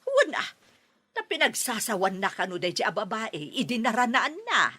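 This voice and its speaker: Scheming mom in a radio play, husky and bright, voice swings up and down a lot. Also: female voice, a little high, sing-song, normal speed, full character voice. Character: middle-aged village mother, scheming, scornful. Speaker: mother. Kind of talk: radio drama acting.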